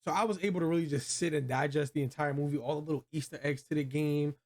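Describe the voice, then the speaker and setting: Enthusiastic higher-pitched American guy, slangy chat. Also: fairly even intonation, quick pace. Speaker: host of a movie review show. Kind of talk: casual chat, film review.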